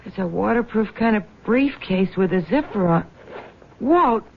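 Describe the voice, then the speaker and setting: An actress in a radio drama playing a bitter, grasping crook's widow with a biting, sarcastic way of talking. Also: female voice, mid-range voice, expressive, unhurried, vocal fry, slight background noise. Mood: agitated, furious. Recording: muffled phone-like old radio broadcast.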